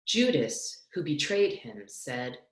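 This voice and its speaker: A young woman with a notably low voice reads scripture as narrator. Her delivery is measured and solemn. The sound is a roomy, echoing video call from a small home room. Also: big pitch swings. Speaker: clergy member reading as narrator. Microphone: computer on a video call.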